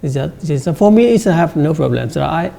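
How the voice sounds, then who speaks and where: A man, a student monk, answering in a halting way with many repeats and frequent "sir". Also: on the high side, expressive, gravelly, warm tone. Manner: halting, says sir often, repeats words. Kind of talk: interview answers.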